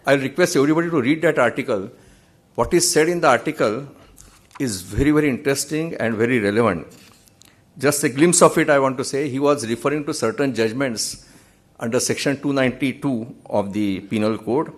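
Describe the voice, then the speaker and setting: Earnest Indian man lecturing from a podium. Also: medium pitch. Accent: Indian English. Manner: measured, earnest, wry anecdotes. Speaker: judge giving a memorial lecture. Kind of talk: formal lecture with anecdotes. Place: large auditorium stage. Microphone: podium microphone.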